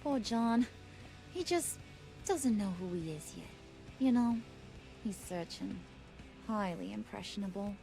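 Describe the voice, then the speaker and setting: An actress with an American accent playing a playful, menacing young villainess in a video game, teasing in sing-song slang with mock sweetness. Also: female voice, medium pitch, lively intonation, a little slow, creaky, long pauses, mellow, soft background music, rough recording. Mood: mock pity.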